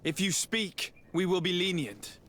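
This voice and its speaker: An actor playing a calm, authoritative male diplomat in a video game, speaking formally. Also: high-pitched, full character voice. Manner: measured, formal.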